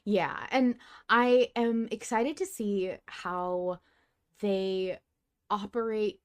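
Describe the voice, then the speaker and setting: A woman's voice: mid-range voice, fairly even intonation, extremely slow, clear, resonant tone. A woman hosting a podcast, chatting casually about fiction as a fan in a playfully dramatic way. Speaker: podcast host.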